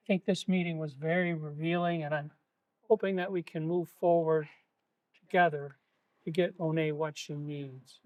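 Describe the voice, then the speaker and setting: A man playing a polite school official in a stage play, speaking in a formal, measured, diplomatic way. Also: fairly high pitch, no room sound, close to an everyday voice, poor audio.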